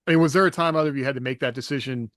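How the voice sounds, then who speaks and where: A man's voice: medium pitch, rapid-fire, a bit bright, dry recording. Reflective male podcast co-host, dry sound. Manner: reflective, hesitant, laughs often. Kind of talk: casual chat.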